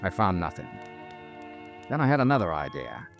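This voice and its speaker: An actor playing a wry, hard-boiled male insurance investigator, telling his case crisply and dryly in 1950s radio noir style. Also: low-pitched, very animated, faint music behind, exaggerated voice. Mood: dry, narrating. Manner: crisp, dry, deliberate storytelling.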